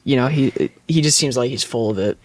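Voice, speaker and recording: A man's voice: medium pitch, brisk, no room sound. A man co-hosting a podcast, chatting casually in loose, slangy phrasing. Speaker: podcast co-host. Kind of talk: casual chat.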